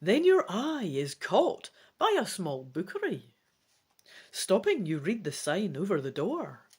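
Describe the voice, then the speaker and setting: Animated woman storyteller. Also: medium pitch, a little slow, slightly rough. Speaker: gamebook reader. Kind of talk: dramatic storytelling narration.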